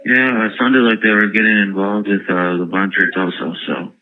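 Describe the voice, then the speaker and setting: A man speaking haltingly and hesitantly as an inmate on a jail phone call, in a muffled recording. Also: lowish voice, level tone. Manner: halting, hesitant, filler-heavy. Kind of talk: casual phone interview.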